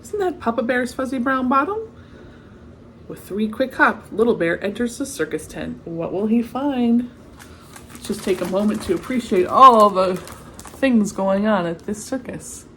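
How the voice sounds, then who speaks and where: A woman's voice: on the high side, animated, unhurried, fairly bright tone, a little hiss or hum. A woman reading a children's picture book aloud expressively, with chatty asides and exclamations of wonder. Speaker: read-aloud storyteller.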